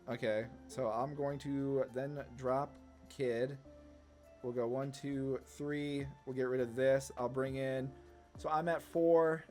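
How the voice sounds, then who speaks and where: A man's voice: medium pitch, darkish tone. A man giving casual, slangy card game commentary, thinking aloud hesitantly as he plays. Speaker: gaming channel host.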